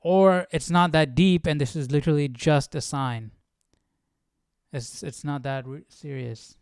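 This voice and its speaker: Smooth-voiced casual man thinking aloud. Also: on the high side, measured pace, no room sound, studio quality. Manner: informal, musing, questioning. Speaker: livestream host of a Bible study. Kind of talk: casual chat, thinking aloud while studying.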